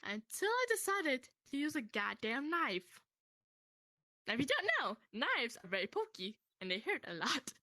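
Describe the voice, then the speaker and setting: A young woman casually telling a personal story to a friend in a rambling, animated way, swearing freely. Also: high-pitched, moderate pace, no echo, clean studio sound.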